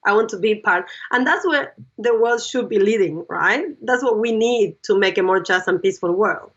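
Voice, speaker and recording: A woman's voice: medium pitch, moderate pace, a bit bright, slightly rough. A woman guest explaining ideas step by step in an interview, checking in with the listener as she goes. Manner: explains in steps, checks in with "right?". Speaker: psychologist and educator guest. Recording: dull lo-fi sound.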